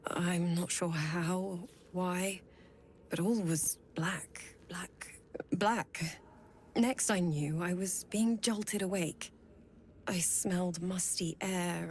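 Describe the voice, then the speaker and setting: An actress playing a grieving young woman brought back from death in a fantasy video game, speaking in a slow, hushed, halting way. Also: lowish voice, slight background noise.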